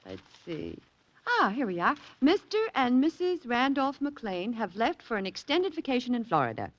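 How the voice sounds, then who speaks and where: Breezy wisecracking 40s radio reporter lady, bouncy highish voice, muffled old recording. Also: wildly swooping pitch, moderate pace. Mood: breezy, teasing. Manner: teasing, wry. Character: breezy, wisecracking newspaper society editor. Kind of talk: 1940s radio drama acting. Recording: dull vintage radio recording.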